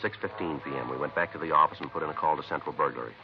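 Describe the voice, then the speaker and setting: An actor playing a terse, methodical American police detective, narrating casework in a clipped, matter-of-fact 1950s radio crime drama style. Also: male voice, extremely low pitch, racing, a little hiss or hum. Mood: clipped, deadpan. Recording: muffled vintage radio recording, faint music.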